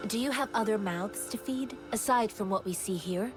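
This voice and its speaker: Calm, earnest young heroine played by an actress. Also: female voice, mid-range voice, moderate pace, over music, lightly acted. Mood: earnest, composed. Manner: calm, formal, proverb-quoting. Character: earnest, generous young female wandering martial disciple. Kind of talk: video game voice acting.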